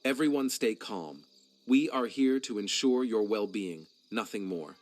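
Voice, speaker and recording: A man's voice: lowish voice, animated, unhurried. A man playing a dutiful, uneasy soldier in a dramatic video game cutscene. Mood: reassuring, uneasy.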